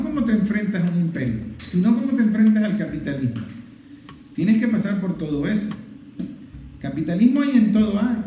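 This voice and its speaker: A man's voice: high voice, dark tone, slight background noise. A man delivering a political commentary monologue in an emphatic way, piling up repeated phrases in lists. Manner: emphatic, repetitive, building lists. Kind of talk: impassioned political monologue to viewers. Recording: roomy echo, guitar music behind.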